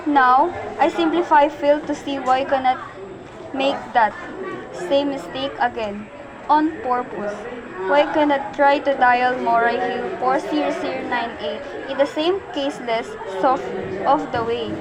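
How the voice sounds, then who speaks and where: A woman's voice: sits high, steady tone, a little slow, a crowd in the background, low-quality recording. A woman playing an anxious, sickly, demanding housewife in an amateur radio play, fretful and insistent. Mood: exasperated.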